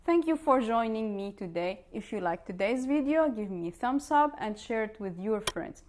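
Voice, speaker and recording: A woman's voice: a little high, expressive, moderate pace, a little mellow. A woman with a Levantine Arabic accent, a native Arabic speaker, giving an informal language lesson and explaining through examples. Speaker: language teacher.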